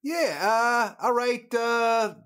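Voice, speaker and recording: Male tabletop player, high voice, hesitant improvised roleplay. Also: extremely slow, fairly bright tone, clean tone. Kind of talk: hesitant improvised in-character talk.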